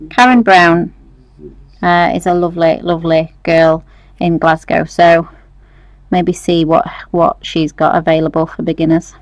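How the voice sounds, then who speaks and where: A young British woman with a fairly low voice hosts a casual live nail lesson. She talks in a chatty, reassuring way, and the sound is close and dry. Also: fairly even intonation, a little slow, no echo. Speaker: nail technician tutor and host. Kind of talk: casual live demonstration chat. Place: nail salon studio, indoors.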